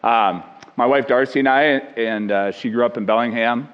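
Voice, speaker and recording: An older or middle-aged American man with a loud voice, giving an informal sermon as a lay preacher in a plain-spoken, earnest, conversational way. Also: mid-range voice, normal speed. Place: large echoing church hall. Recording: dull muffled audio, long hall reverb.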